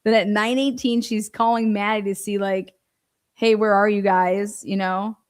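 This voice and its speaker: A woman's voice: medium pitch, flat delivery, moderate pace. A woman giving informal true-crime commentary, reading from a transcript and breaking in with pointed, skeptical asides and rhetorical questions.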